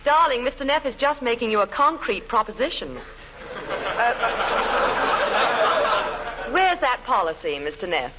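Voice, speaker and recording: An actress playing a scheming, sardonic wife, bossy and mock-sweet, in 1940s radio comedy style. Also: female voice, fairly high pitch, sing-song, unhurried, pauses often. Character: scheming wife, a sardonic comic femme fatale. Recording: noisy, muffled old radio recording.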